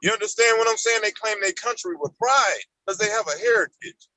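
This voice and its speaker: Panelist, man around fifty, preachy cadence, bright tense tone. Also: high voice, brisk, no echo. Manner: preachy, repeats "you understand what I'm saying". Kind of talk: impassioned panel discussion.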